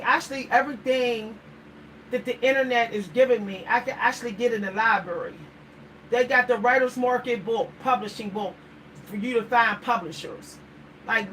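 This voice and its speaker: A woman's voice: a little high, a bit bright. A middle-aged woman with a Pittsburgh accent, talking casually to her livestream viewers in a rambling way and often repeating words. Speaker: vlogger. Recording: distant mic, faint background noise.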